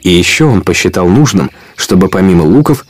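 A man's voice: low-pitched, expressive, fairly quick, no echo. A man narrating an outlaw legend as the storyteller of a radio play, in scripted storybook style. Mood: measured. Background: guitar music.

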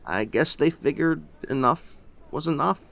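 A man voicing a grieving older rural man who talks in halting, choked bursts and breaks off mid-sentence. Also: fairly high pitch, lively intonation, gravelly, a bit bright, voice pushed up, full character voice. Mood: weary.

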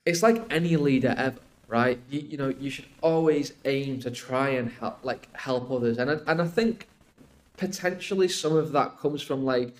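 A man's voice: medium pitch, moderate pace. A man, talking casually on a podcast in a rambling, emphatic way, often checking in with the listener. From Iraq until age eight, then UK. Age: 20s. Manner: rambling, emphatic explaining with frequent "like" and "right?". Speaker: podcast guest.

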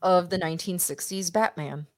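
A woman's voice: on the low side, moderate pace. A woman co-hosting a casual watch-party podcast, talking emphatically with exasperated rhetorical questions. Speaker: podcast co-host.

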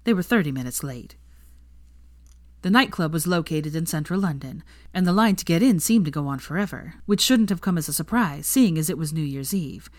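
A woman's voice: low voice, animated, a little fast, no room sound, studio quality. A woman reading fan fiction aloud as scripted story narration.

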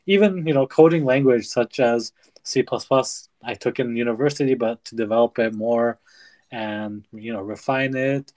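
A young man co-hosting a podcast, chatting casually in quick bursts with fillers. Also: medium pitch, darkish tone. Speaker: podcast co-host. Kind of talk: casual chat.

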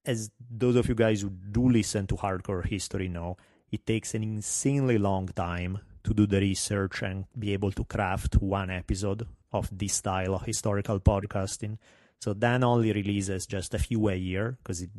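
Podcast host, middle-aged man, thick Italian accent, fairly low voice, wry and self-deprecating. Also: steady tone, normal speed, darkish tone, no echo. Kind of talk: solo podcast monologue.

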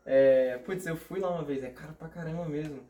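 Laid-back podcast host guy, mid pitch, sounds roomy and a bit far off mic. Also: dark tone. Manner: laid-back, quick agreeing interjections. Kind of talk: casual chat.

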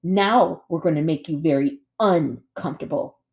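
A woman's voice: sits low, moderate pace, lo-fi sound. Woman putting on a sarcastic, bossy young she-bear. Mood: mock-polite menace. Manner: sarcastic, drawling, snappish.

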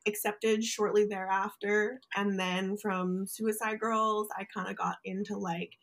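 A woman's voice: mid-range voice, level tone, unhurried, a bit bright. A young adult woman speaking as a podcast guest, candid and outspoken in casual conversation. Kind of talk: casual interview chat.

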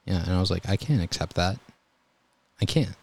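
A young man telling EMT stories in a relaxed, calm voice during a casual chat. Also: low-pitched, normal speed, creaky. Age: young adult. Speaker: guest, EMT volunteer.

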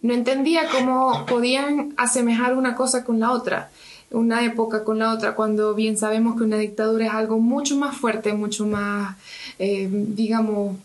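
A woman's voice: a little high, fairly even intonation, normal speed, poor audio. A woman, a guest on a casual podcast, earnestly explaining a question she has been wondering about. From not from Chile. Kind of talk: casual discussion.

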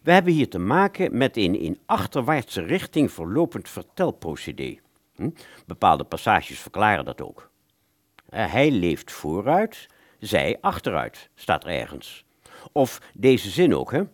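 An actor plays a male bookshop salesman in a radio drama. He is polite, fussy and a touch patronizing, explaining things pedantically. His voice is on the low side and very animated in pitch. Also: unhurried, full character voice. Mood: defensive, explaining. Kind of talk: radio play dialogue.

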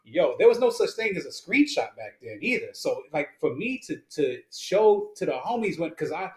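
A man's voice: on the high side, very animated, normal speed. Guy in his 30s shooting the breeze on a podcast, slangy and laid-back, echoey room. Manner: laid-back slangy banter, laughs often. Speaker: podcast co-host. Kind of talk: casual chat.

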